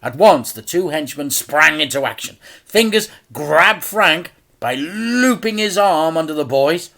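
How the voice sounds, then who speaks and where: A man reading a children's story aloud as an expressive narrator, with theatrical, dramatic delivery. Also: fairly high pitch, measured pace, gravelly.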